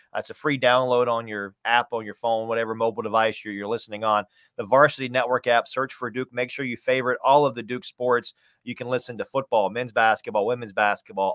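A man's voice: medium pitch, a bit bright, smooth, no echo. A man in his thirties talking conversationally as a sports interview guest, folksy and emphatic, repeating phrases for stress. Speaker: sports play-by-play announcer, podcast guest. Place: small home room, video call.

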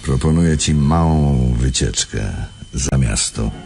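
An actor playing a scheming imprisoned crime boss in a radio drama, mock-polite and domineering. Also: male voice, rumbling low, takes it slowly, gravelly, darkish tone, a little hiss or hum, exaggerated voice. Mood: scheming, persuasive. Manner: mock-polite, turning bossy and impatient.

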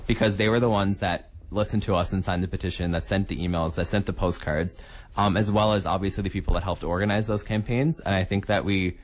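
A man's voice: sits low, steady tone, fairly quick. A young adult man, a guest in a casual radio interview in a studio. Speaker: interview guest, incoming student union executive.

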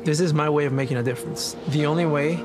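A young man acting as an earnest, calm street preacher in a dramatized film, gently and sincerely persuading. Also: fairly high pitch, fast, lightly acted. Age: 20s. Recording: loud music under film dialogue.

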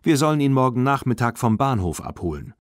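An eager, impulsive young girl in a storybook horse tale, voiced by a man. The voice is lifted and animated, lively and earnest, in a dry recording. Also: medium pitch, brisk, dry recording, pitched above the performer's own voice, lightly acted. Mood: reassuring.

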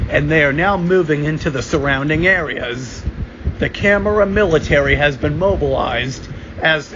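Male announcer for homemade emergency alerts, formal, slightly high voice, loud background hum. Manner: formal, deliberate, stumbles and repeats phrases. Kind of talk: amateur mock emergency broadcast announcement. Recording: dull lo-fi sound with loud ambient hum.

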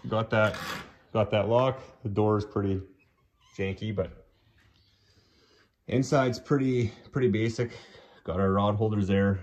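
Young guy, low steady voice, loose walkthrough with lots of restarts, phone held at arm's length. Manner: relaxed, rambling, frequent restarts and fillers. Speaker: vlogger. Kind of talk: casual walkthrough tour. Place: small insulated wooden shack indoors. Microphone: handheld phone camera at arm's length.

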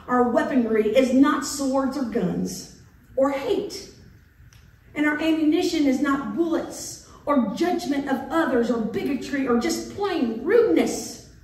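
A middle-aged woman pastor preaching a sermon in a church sanctuary, playful and animated, acting out the voices of biblical figures. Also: high-pitched. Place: church sanctuary with organ pipes. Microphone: far camera in the pews. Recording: echoey church from a distance.

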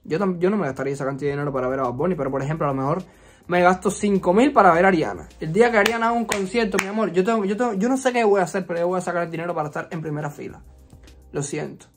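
A man's voice: high voice, rapid-fire, soft background music. A young man with a Cuban Spanish accent, chatting expressively and sassily in a casual storytime vlog. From Cuba. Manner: chatty and expressive, frequent fillers, playful sass. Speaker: YouTuber. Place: resort vacation rental.

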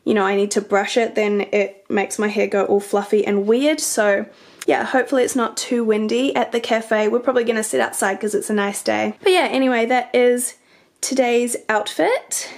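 A woman in her twenties with a New Zealand accent, chatting casually in an outfit vlog, her voice hoarse and congested from a cold. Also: fairly high pitch, moderate pace. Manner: chatty, relaxed, upbeat. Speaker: fashion vlogger and teacher. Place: bedroom with a mirror, indoors. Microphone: camera's built-in mic, filmed in the mirror.

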